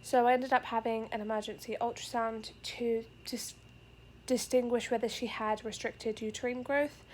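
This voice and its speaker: A woman's voice: on the high side, fairly even intonation, moderate pace, a little hiss or hum, low-quality recording. A young pregnant woman, a little out of breath, giving an earnest, rambling update to her camera with many fillers. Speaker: vlogger. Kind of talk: casual pregnancy update vlog. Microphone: camera facing the speaker.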